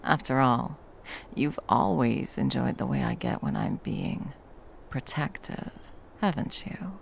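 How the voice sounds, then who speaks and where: A woman playing a protective, tender monster hunter in an intimate ASMR roleplay, speaking haltingly and breathily, often dropping toward a whisper. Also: rumbling low, huge pitch swings, vocal fry, slight background noise, full character voice. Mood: teasing, seductive. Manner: halting, coaxing, speaking to a beloved. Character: wandering female monster hunter, fiercely protective, tender lover.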